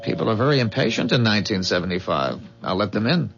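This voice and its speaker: An actor playing a polite, calm inventor from the future in a 1970s radio drama, speaking precisely and without fluster. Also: male voice, lowish voice, fast talker. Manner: courteous, precise, unruffled. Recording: dull 1970s radio recording with music.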